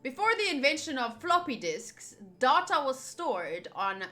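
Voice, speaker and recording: A woman's voice: high voice, big pitch swings, measured pace, bright, forceful tone. Playful young woman, lightish voice. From United States. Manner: playful, casual. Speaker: game streamer. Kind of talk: visual novel playthrough, reading aloud and commenting. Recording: voice over game music. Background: game music.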